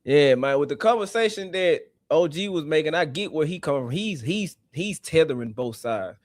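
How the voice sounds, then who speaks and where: Animated man on a live stream. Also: on the high side, moderate pace, dry recording. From South Memphis, USA. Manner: animated and outspoken. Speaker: guest caller on a live panel. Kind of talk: casual live-stream debate.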